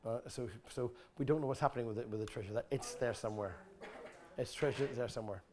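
Blunt animated middle-aged man. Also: mid-range voice, quick pace, a crowd in the background. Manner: blunt, animated, swears freely, many restarts. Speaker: guest speaker at an expo. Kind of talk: informal talk with audience questions. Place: large exhibition tent with a small audience.

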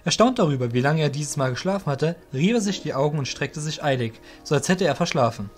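A man narrating a scripted fantasy audio drama as a storyteller, with measured delivery. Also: fairly high pitch, animated, soft background music.

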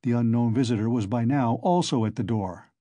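A man's voice: mid-range voice, a little fast, mellow, dry recording. A man narrating a literary audiobook in a scripted reading style.

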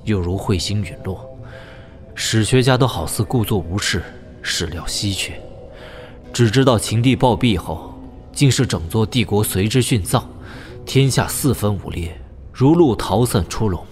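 Thoughtful young wuxia hero, low-pitched. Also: male voice, lively intonation, unhurried, darkish tone, soft background music. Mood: pensive musing. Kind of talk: Chinese audio drama acting.

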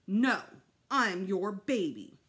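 A woman's voice: a little high, wildly swooping pitch, unhurried, fairly bright tone, pitched above the performer's own voice, exaggerated voice. A woman voicing a small, stubborn, playful and jealous boy in a children's audiobook, with an insistent sing-song, childish delivery. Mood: pleading, stubborn.

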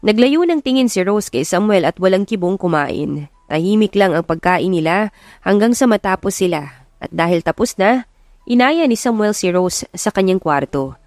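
A woman narrates a scripted love story in dramatic audiobook style. Her pitch is average for a woman. The recording is close, with hardly any room sound. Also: lively intonation, normal speed, darkish tone, no echo.

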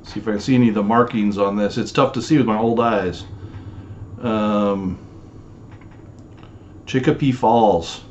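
A man's voice: lowish voice, steady tone, unhurried, pauses often, a little mellow, muffled recording, a little hiss or hum. Rambling man showing off old guns. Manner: rambling, many fillers, talks while handling items. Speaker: storage unit reseller. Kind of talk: casual show-and-tell vlog. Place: small home office, indoors.

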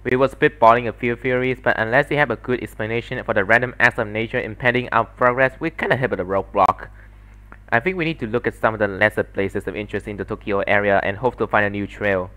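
A man's voice: on the low side, fast. A man voicing an exasperated young female detective in visual novel dialogue, subdued, dry and serious. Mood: weary, dry. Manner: deadpan, subdued.

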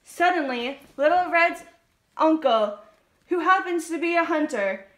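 High, bright woman narrating a story. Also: lively intonation, measured pace, roomy sound.

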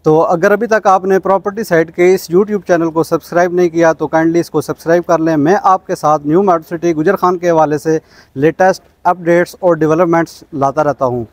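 A man's voice: a little high, steady tone, brisk, no room sound. A man with a Pakistani accent hosting a property vlog, speaking politely and promotionally with English words mixed in. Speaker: host of a property channel. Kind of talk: vlog presenting and interviewing. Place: outdoors in front of a small cafe storefront. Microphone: lapel mic.

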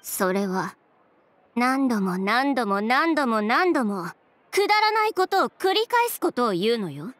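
A proud, sharp-tongued young woman from a video game. Her high voice swings expressively, yet she stays cool, haughty and unflappable. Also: big pitch swings, no echo. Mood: cold, menacing. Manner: cool, haughty, deliberate sarcasm. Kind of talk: Japanese game voice acting.

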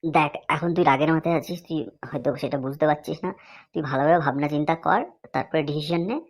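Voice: mid-range voice, steady tone, fast talker, lightly acted. An actor voicing a reasonable village friend in a comedy cartoon, advising. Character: reasonable village friend giving calm advice.

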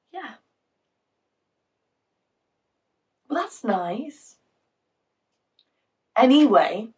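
A woman acting a theatrical monologue with a British accent as a bitter, wounded woman, sardonic, halting and emotionally volatile. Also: on the high side, a little mellow, far from the mic. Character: bitter, wounded woman confiding a painful story.

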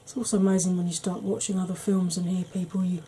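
A woman in her forties with a Welsh-tinged northern English accent, talking slowly and softly with wry humour while doing her makeup. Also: on the low side, flat delivery, a little hiss or hum. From Wales and Yorkshire. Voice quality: soft-spoken, slow, wry. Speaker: makeup vlogger. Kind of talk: soft-spoken makeup tutorial chat.

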